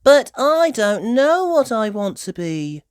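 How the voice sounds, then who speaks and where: High-pitched eager little piglet girl. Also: very animated, takes it slowly, clear, resonant tone, no echo, studio quality. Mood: earnest, unsure. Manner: exclaiming, earnest, childlike. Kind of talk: children's storybook character voice.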